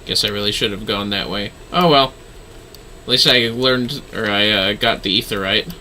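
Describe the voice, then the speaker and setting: A man's voice: lowish voice, moderate pace, bright, forceful tone, a little hiss or hum. A man giving casual, chatty livestream commentary on a game he is playing, gushing and swearing freely. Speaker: game streamer.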